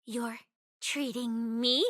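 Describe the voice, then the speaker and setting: A woman's voice: on the high side, steady tone, drawn-out words, a bit bright, dry recording, studio quality, exaggerated voice. A woman voicing a playful, food-loving young female security specialist in a video game, girlish and dramatic in her delivery. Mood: excited, coaxing. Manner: playful, dramatic, swinging between bubbly and mock-official.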